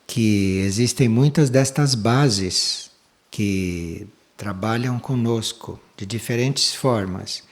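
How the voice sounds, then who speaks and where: An older man giving a slow, measured spiritual lecture, pausing often between phrases. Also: lowish voice. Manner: slow, measured, with long pauses. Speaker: spiritual teacher giving a talk.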